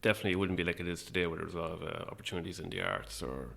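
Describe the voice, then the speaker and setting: A middle-aged man with an Irish accent speaks in a very low voice with a creaky, gravelly edge. He chats informally as an interview guest, in a modest, self-deprecating way. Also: big pitch swings, rapid-fire, a bit bright. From Ireland. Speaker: guest, playwright and actor. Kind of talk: casual interview chat.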